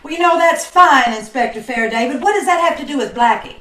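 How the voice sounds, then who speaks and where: A woman's voice: high-pitched, lively intonation, fast talker, a bit bright. A woman playing a sassy, unruffled lady in an old-time radio drama, answering dryly, on a roomy, noisy video-call recording. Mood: dry, defiant. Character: unruffled, sassy young lady defending herself to police.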